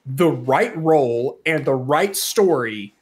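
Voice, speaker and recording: A man's voice: medium pitch, lively intonation, slow. A man co-hosting a podcast, talking casually and giving opinions with emphatic stress on key words. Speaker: podcast co-host.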